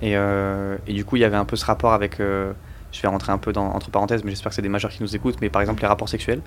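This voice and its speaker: A young man with a lowish voice chats loosely about his songs in an interview. He keeps restarting and fills gaps with slang. A faint hum of room ambience sits under him. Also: level tone, racing. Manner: rambling, slangy, full of fillers and restarts. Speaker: musician guest. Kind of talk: casual interview chat.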